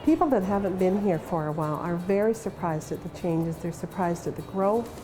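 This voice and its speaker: A woman speaking for her city in a promotional interview, listing its features in a proud, measured way. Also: on the low side, lively intonation, poor audio. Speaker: local city representative interviewee. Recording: music bed behind the voice.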